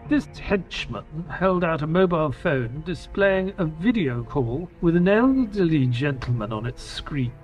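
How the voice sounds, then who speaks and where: An actor playing an earnest detective's companion and narrator in a scripted audio drama. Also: male voice, fairly high pitch, lively intonation, a little slow, few pauses, soft background music. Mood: storytelling.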